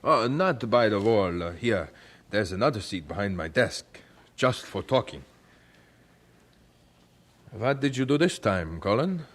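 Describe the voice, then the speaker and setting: Radio drama: deliberate, pious German gentleman, kind but insistent, halting English, widely swinging intonation. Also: fairly low pitch, big pitch swings. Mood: gentle, patient. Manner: halting, non-native phrasing, emphatic repetition. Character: devout, deliberate, kindly German orphanage director.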